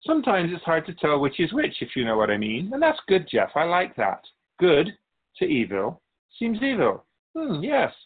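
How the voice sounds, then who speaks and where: Male actor as otherworldly male soul keeper, wise, wry, measured, musing, animated intonation, radio drama, muffled lo-fi recording. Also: a little high, slight background noise. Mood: approving, reflective. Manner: measured, didactic, musing pauses. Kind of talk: radio drama dialogue in interview form. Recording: dull, lo-fi sound.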